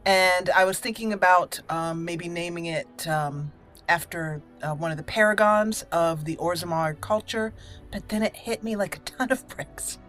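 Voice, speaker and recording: Lower-pitched woman narrating a video game. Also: normal speed, a bit bright, faint music behind. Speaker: gameplay host. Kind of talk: casual let's play commentary with roleplay storytelling.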